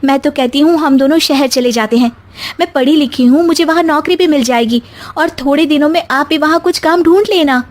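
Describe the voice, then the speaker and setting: A woman's voice: sits high, fairly quick, voice pushed up, lightly acted. A woman voicing an outspoken, educated young daughter-in-law in a cartoon story, emphatic and protesting. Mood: pleading. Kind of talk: animated Hindi story dialogue.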